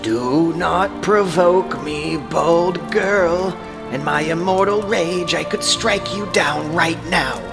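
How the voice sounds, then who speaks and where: A man voicing an imperious goddess disguised as an old crone, coaxing and menacing with slow, deliberate words in an epic audio drama. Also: fairly high pitch, gravelly, music in the background, pitched above the performer's own voice. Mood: menacing, wrathful.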